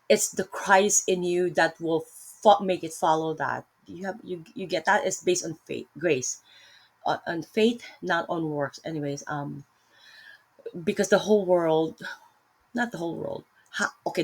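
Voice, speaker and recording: A woman's voice: fairly low pitch, darkish tone, slightly rough. A woman with a Filipino accent giving a casual Bible talk on her laptop, rambling and correcting herself with lots of fillers. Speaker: vlogger teaching Bible study.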